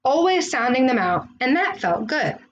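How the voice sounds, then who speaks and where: A woman reading a children's storybook aloud, with expressive, deliberate phrasing. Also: high-pitched, lo-fi sound, slight background noise. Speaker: storybook reader.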